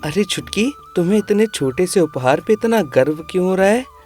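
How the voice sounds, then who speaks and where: A woman voicing a caring, poor boy, a gentle and instructive elder brother in a children's moral cartoon. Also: lowish voice, lively intonation, normal speed, slightly rough, faint music behind.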